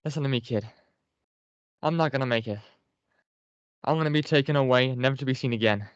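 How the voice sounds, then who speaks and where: A man's voice: medium pitch, a little fast, smooth, no echo, natural voice. A weary, fatalistic paper general, voiced by a man, gloomy and sarcastic in an everyday middle voice. The sound is dull and lo-fi and very dry.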